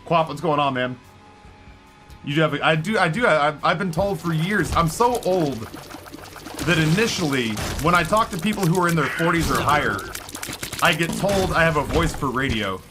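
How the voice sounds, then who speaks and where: A man's voice: on the high side, animated, moderate pace. A middle-aged man streaming a video game, rambling on in casual, self-deprecating chat and never letting the talk stop. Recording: music playing clearly behind.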